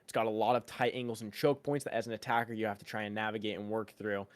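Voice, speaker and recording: A man's voice: fairly low pitch, flat delivery, quick pace, dry recording. Upbeat man casting a shooter match. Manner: upbeat, stretches words, repeats himself mid-sentence. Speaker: esports caster. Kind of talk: live esports commentary.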